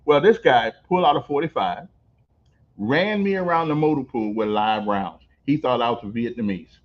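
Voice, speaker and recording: An older man hosting a casual on-location interview, telling stories in an animated way, repeating words and laughing as he goes. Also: medium pitch, normal speed. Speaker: host. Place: on location at a veterans center. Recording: dull lo-fi recording.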